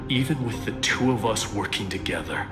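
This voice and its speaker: A man plays a gruff, proud warrior from a fantasy game. His deep-leaning voice is blunt, stern and sardonic, sounding in an echoey space with music underneath. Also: expressive, fairly quick, natural voice. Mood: grim. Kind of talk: video game character acting.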